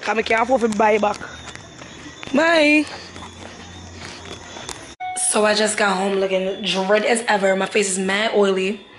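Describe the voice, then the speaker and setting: A woman's voice: mid-range voice, a little slow, long pauses. Chatty New woman, noisy room. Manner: rambling, slangy, with many fillers. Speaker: vlogger. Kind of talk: casual vlog chat to camera. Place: home while traveling. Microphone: phone or camera mic with ring light. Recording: noisy ambient background.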